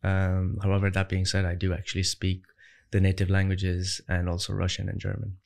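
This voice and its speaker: A man's voice: low-pitched, monotone, fairly quick, creaky, darkish tone. A young man from Ireland, a business founder, answering interview questions in an emphatic, conversational way that builds into punchy rhetorical questions. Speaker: entrepreneur guest.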